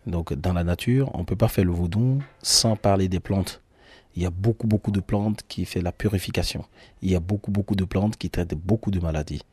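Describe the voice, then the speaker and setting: Deep, husky Beninese man. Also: a little fast, a little mellow, dry recording. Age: 30s. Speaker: tour agency founder and nature guide. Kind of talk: interview, personal storytelling.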